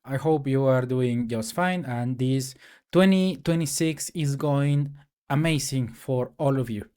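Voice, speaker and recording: Slow, halting Mexican-accented man. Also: mid-range voice, a little mellow, clear, resonant tone. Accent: Mexican Spanish. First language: Spanish. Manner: hesitant, many fillers and restarts. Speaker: tech YouTuber. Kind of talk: casual solo rant to camera.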